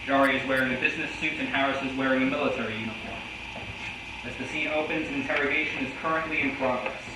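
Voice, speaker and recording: A man's voice: mid-range voice, fairly even intonation, fast talker. A man narrating stage directions from a script at a staged reading. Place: hall, recorded from a distance. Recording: very echoey hall, far mic, loud noise.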